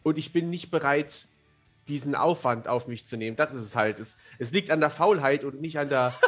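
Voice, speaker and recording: A man's voice: medium pitch, normal speed, fairly bright tone, faint music behind. A man speaking as a guest in a casual podcast conversation, chatty and self-deprecating. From Germany. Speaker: interview guest, fantasy author and amateur filmmaker.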